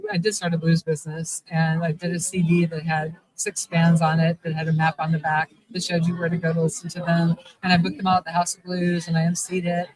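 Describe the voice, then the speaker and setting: A woman's voice: lowish voice, little pitch movement, a little fast, hardly any pauses, darkish tone, rough recording. A middle-aged woman, a shop owner, telling a long rambling story at a convention interview. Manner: chatty, rambling, many restarts. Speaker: guest, vampire shop owner. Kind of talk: casual storytelling in a live interview. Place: convention event.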